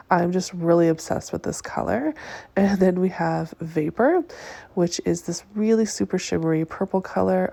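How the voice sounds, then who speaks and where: A woman's voice: lowish voice, gravelly, dark tone, no echo. A woman nail artist with a stuffed-up nose, chatting through a casual voice-over review of nail polish colors, with many ums and likes. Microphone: close voice-over mic.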